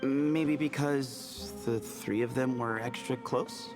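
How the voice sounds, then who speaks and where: A man's voice: medium pitch, fairly even intonation, slow pace, over music, lightly acted. A man voicing a playful, knowledgeable young scholar in a video game, explaining things in an upbeat way. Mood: upbeat, curious.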